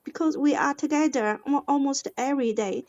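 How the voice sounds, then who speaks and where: A woman with a Chinese accent, an English learner speaking haltingly in a lesson as she searches for words, on dull lo-fi audio. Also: high voice, a little mellow. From China. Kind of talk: hesitant conversation in a lesson.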